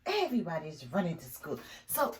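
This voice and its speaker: A woman reading a children's picture book aloud in an animated, expressive way, pausing to explain the story simply to young listeners. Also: on the low side.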